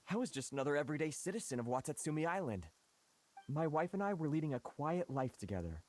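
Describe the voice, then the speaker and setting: Man voicing an earnest, mid-pitched humble recruit. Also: close to an everyday voice. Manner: reflective, measured, earnest. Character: earnest, humble young married male recruit. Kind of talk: video game voice acting.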